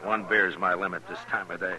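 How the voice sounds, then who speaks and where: An actor playing a steady, watchful marshal in a 1950s radio western, speaking in a dry, laconic way. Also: male voice, deep voice, brisk, a crowd in the background, lightly acted. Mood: calm, dry. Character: steady, watchful frontier town marshal, grown man. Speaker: lead actor. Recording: noisy old radio western recording.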